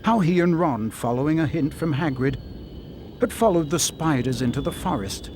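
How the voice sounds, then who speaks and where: A man narrating a scripted story in a measured, dramatic storybook manner. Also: a little high, background noise.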